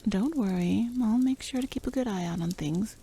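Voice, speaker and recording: A woman's voice: medium pitch, moderate pace, mellow, a little hiss or hum, natural voice. A woman playing a playful, cool-headed rogue in a fantasy roleplay ASMR, speaking in a teasing, intimate, half-whispered way. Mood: sly, calm.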